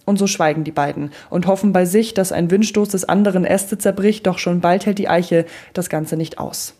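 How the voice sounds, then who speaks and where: A woman narrating a rhymed story in a measured, rhythmic way. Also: on the low side, mellow.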